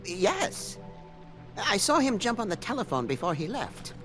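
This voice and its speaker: A man's voice: sits high, big pitch swings, unhurried, fairly bright tone, heavily acted. A man voicing a meek, polite monkey servant in a video game, timid, deferential and hesitant, eager to help. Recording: music under the dialogue.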